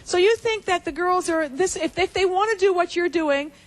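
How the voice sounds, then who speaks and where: A woman's voice: very high-pitched, moderate pace. A woman hosting a daytime talk show, asking blunt, probing questions in front of a studio audience.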